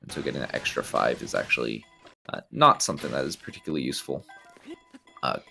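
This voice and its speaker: Male speedrun commentator, very low gravelly voice, laid-back explainer. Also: fast talker, soft background music. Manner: relaxed, explanatory, frequent ums and restarts. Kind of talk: live game commentary.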